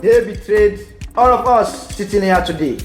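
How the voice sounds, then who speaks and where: A man playing an aggrieved village faction leader, speaking in a measured, oratorical way in an audio drama. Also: high-pitched, natural voice. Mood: bitter, rallying. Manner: measured, oratorical, proverb-laden. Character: aggrieved male village faction leader rallying his men. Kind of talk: amateur audio drama acting. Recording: echoey room with music underneath.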